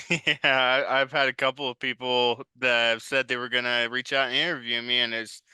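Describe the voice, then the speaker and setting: Crisp, bright-voiced young man, very dry sound. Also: medium pitch, level tone, normal speed, dry recording. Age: 20s. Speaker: interview guest, writer and director. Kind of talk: casual conversational interview answers.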